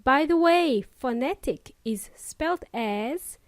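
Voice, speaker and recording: Upbeat woman podcast host, Eastern European accent with Kiwi traces, bright high voice, dry close recording. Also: animated, takes it slowly, no room sound. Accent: Eastern European with New Zealand and Australian traces. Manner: upbeat, addresses listeners directly with Spanish asides. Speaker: English teacher podcast host. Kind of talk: friendly podcast lesson.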